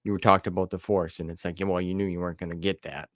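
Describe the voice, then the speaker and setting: A man's voice: deep voice, flat delivery, fast talker, a bit bright, no room sound, studio quality. A middle-aged American man hosting a podcast, chatting casually in a folksy, plain-spoken way. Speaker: podcast host. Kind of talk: casual chat.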